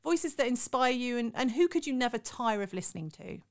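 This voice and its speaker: A woman's voice: on the high side, lively intonation, brisk. A British woman hosting a casual interview, chatty and affirming as she talks.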